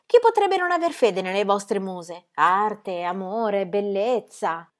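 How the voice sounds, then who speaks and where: A woman voicing a dreamy, rapturous young woman who gushes breathlessly in a high voice. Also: animated, slow pace. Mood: adoring.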